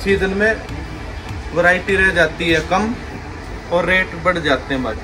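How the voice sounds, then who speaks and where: A man's voice: sits high, a little slow, noisy background. Young man with a pushy sales patter. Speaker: wholesale clothing seller. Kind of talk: sales pitch video. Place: large indoor clothing warehouse.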